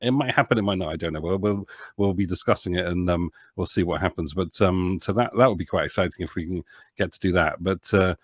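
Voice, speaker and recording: A man's voice: sits low, fast, dry recording. A man chatting casually as an interview guest, hesitant and rambling, often interrupting himself. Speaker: podcast guest, author and producer.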